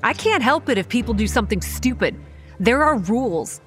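A woman's voice: on the high side, big pitch swings, moderate pace, fairly bright tone, soft background music. A woman playing a guarded, hot-tempered young campground manager who narrates and speaks in a horror audio drama, earnest with a sardonic edge. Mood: angry, defiant. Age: young adult. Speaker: narrator and lead character.

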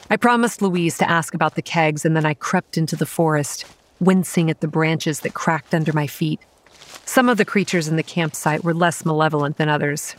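A young woman playing a wry campground manager, telling a horror story in a measured, scripted first-person style. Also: sits low, lightly acted. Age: young adult. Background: music.

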